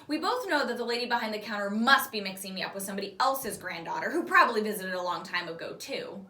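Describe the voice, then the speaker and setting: A woman reading a children's novel aloud like a teacher, expressive and animated, acting out the dialogue. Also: medium pitch, fast. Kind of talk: expressive storybook read-aloud. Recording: roomy echo, distant mic.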